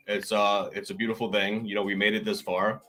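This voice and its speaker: Casual male podcast co-host, punchy hype-man delivery, bright edge. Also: fairly low pitch, little pitch movement, brisk. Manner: hype-man openings, emphatic repeats.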